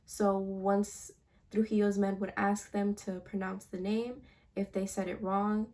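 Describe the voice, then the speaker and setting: A young woman walks through her slides in a student presentation, her voice mellow and soft-edged. She talks casually, pausing and restarting now and then as she explains. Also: mid-range voice. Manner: casual, slightly halting delivery with fillers. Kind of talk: class presentation over slides.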